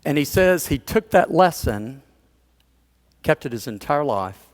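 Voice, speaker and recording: A man's voice: a little high, animated, measured pace. Man preaching a story-driven sermon. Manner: storytelling, conversational, with asides and chuckles. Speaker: pastor.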